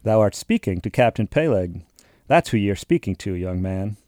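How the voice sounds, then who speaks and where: A gruff old sea captain, put on by a male narrator, loud and raised in pitch over the reader's own voice, blustering and suspicious. He fires question after question in old-fashioned speech. The tone is dark and the sound is dry and close. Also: animated, moderate pace, dry recording, natural voice.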